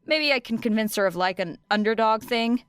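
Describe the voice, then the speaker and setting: A woman's voice: fairly high pitch, brisk, clear, resonant tone, no echo, studio quality, voice pushed up, natural voice. A woman voicing a brash, teasing teenage girl student with blunt, sarcastic delivery in an audiobook. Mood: matter-of-fact. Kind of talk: audiobook character dialogue.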